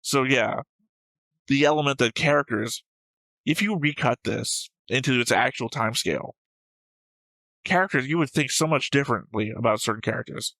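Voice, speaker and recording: A man's voice: mid-range voice, normal speed, sharp tone, dry recording, rough recording. A man co-hosting a reaction show, discussing and reading comments in a casual, analytical way with some argument and casual swearing. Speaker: co-host of anime reaction show.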